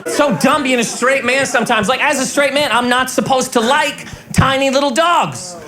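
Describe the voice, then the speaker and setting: A young man performing stand-up comedy in a club, with a high-pitched, boyish voice and an animated, shouty delivery. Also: normal speed. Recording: live club recording with crowd noise.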